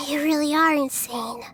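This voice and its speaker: A woman voicing a small young girl deity in a video game, calm, wise and earnest, with measured phrasing. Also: high-pitched, slight background noise. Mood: dismayed. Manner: measured, earnest, gentle wording. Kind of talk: scripted game dialogue.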